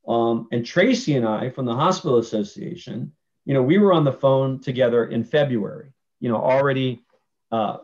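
A man in his forties talks over a webcam during a virtual town hall, laying out his points with earnest care. He pauses on fillers and restarts his phrases often. His voice sits at an ordinary middle pitch, and the audio comes through a little muffled. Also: darkish tone. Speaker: panelist speaking for nursing homes. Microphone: video call webcam. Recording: muffled video call audio.

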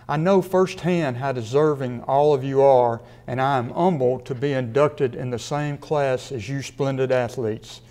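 A man in his sixties from Mississippi, a sports writer delivering a prepared acceptance speech in a measured way with a dry wit. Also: medium pitch, darkish tone. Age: 60s. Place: studio with a sponsor backdrop.

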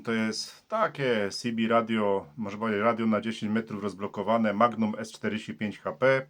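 A man from Poland working a CB radio contact, using formal call signs and procedure in a friendly, steady way. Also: lowish voice. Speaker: CB radio operator.

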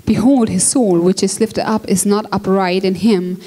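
A woman interpreting a sermon into another language line by line, in a steady, plain manner. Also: mid-range voice, a little slow. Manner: steady, plain, follows the speaker closely.

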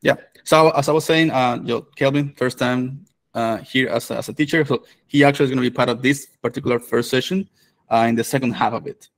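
A man's voice: medium pitch, fairly quick. Man hosting a video call. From Venezuela. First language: Spanish. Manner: relaxed, many fillers and restarts. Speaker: developer advocate and course host. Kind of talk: live online course introduction.

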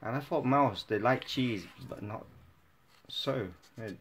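A man's voice: medium pitch, slow pace. A man speaking as a father in a patient one-to-one reading lesson, encouraging and repeating words. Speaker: father coaching a child's reading. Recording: noticeable background noise, clicks, home recording.

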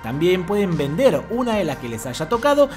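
A woman hosting a crypto channel, reading a smooth scripted tutorial voice-over in an even promotional delivery. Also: lowish voice, moderate pace, soft background music.

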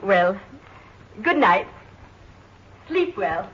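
An actress playing a kindly, cheerful night attendant in a 1940s radio drama, with a gentle, indulgent, lilting manner. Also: female voice, medium pitch, big pitch swings, very slow, full character voice. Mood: gentle, amused. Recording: noisy, dull 1940s radio recording.

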